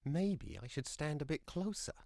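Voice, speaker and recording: An actor playing a mild, curious young weaver in a fantasy adventure game. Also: male voice, a little high, animated, moderate pace, darkish tone. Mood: musing. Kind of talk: adventure game character remarks.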